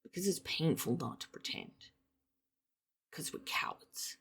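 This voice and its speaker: A woman playing a withdrawn, bitter young male hacker in a hushed, breathy, muttered dramatic monologue. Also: whispered, exaggerated voice. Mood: bitter, numb. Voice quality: hushed, low, breathy murmur. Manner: flat, quick muttered delivery. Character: disillusioned, withdrawn young hacker man, bitterly anti-society.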